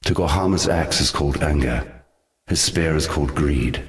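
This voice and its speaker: Grim god voice for fantasy game lore, super deep, huge echo. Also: male voice, moderate pace. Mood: solemn, ominous. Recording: long cavernous reverb.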